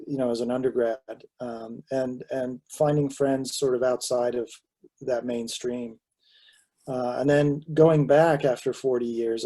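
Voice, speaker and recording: A man's voice: mid-range voice, dark tone. A man, a panelist giving a reflective, hesitant answer full of fillers and restarts over a video call. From Southern California. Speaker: panelist and author.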